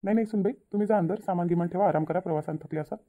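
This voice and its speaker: A woman voicing a responsible elder brother and family peacemaker in a cartoon, probing and gently directing in a Vidarbha Marathi accent. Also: fairly low pitch, racing, lightly acted. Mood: cautious, placating. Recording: tinny phone-like narrow audio.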